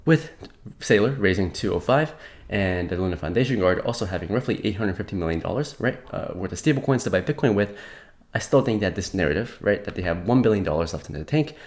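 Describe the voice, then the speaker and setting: A man's voice: deep voice, expressive, fast, darkish tone. A young man giving a casual market update while slightly sick, rambling with many fillers and restarts. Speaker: crypto market commentator.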